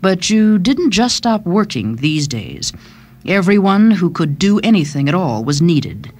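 A woman's voice: lowish voice, big pitch swings, measured pace, a little mellow. A woman reading scripted short-story narration as the narrator. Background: music.

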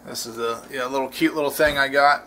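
A man hosting a casual home cooking demo in his kitchen, talking in a relaxed, rambling way with lots of fillers and restarts. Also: medium pitch, brisk, a little hiss or hum. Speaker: cooking channel host.

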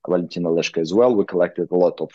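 A middle-aged man from Ukraine with a Ukrainian accent, a non-native speaker giving an online lecture as a weightlifting coach, in halting English full of fillers. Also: low-pitched, dry recording. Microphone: video call. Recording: dull lo-fi video call audio.

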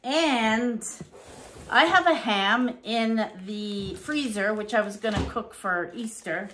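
A middle-aged woman from Canada chatting casually through her grocery haul in her home kitchen, reading prices aloud and thinking out loud. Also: a little high, slow, a little hiss or hum. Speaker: vlogger. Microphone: fixed camera at table.

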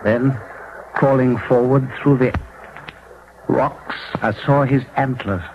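An actor playing a boastful young rascal of a son, spinning tall tales in grandiose 1940s radio style. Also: male voice, mid-range voice, fairly even intonation, slow. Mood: grandiose, excited. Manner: declamatory, storytelling, grandiose. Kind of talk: 1940s radio drama acting. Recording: muffled 1940s radio broadcast, faint noise.

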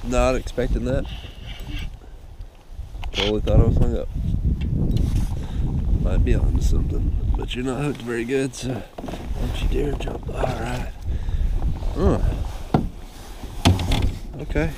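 A man fishing from a kayak on a lake, talking to himself and the fish in a casual vlog, now and then bursting out with excitement. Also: mid-range voice, slow pace, pauses often. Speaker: angler. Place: kayak on an open lake, outdoors. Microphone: action camera mounted on the kayak. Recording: loud wind and water noise on a camera mic. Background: wind and water noise.

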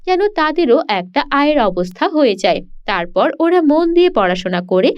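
A woman's voice: high voice, sing-song, moderate pace. A woman reading a scripted children's story as its narrator. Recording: dull lo-fi sound.

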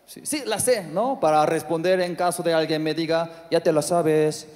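A man from Korea speaking Spanish with a Korean accent as a non-native speaker, doing stand-up with halting phrasing, lots of fillers and sudden shouted outbursts. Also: fairly high pitch, a little hiss or hum. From South Korea. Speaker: stand-up comedian. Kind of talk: stand-up comedy set. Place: large theater stage. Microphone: handheld mic. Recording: live hall reverb with audience.

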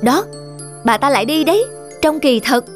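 A gossipy neighbourhood woman from a cartoon, speaks in a high, insinuating voice. She sounds suspicious and conspiratorial, with music underneath. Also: sing-song, normal speed. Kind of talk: Vietnamese cartoon voice acting.

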